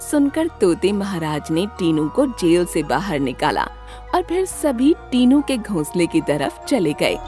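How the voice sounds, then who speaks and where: A woman narrating a scripted children's story as an expressive storyteller. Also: on the low side, measured pace, music in the background.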